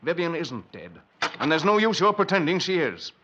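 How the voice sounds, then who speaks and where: A man's voice: a little high, very animated, moderate pace, background noise. An actor playing a guilt-ridden, grimly determined wealthy man in a 1940s radio horror drama, with an earnest, dramatic delivery. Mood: stern, suspicious. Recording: dull, lo-fi vintage 1940s radio recording.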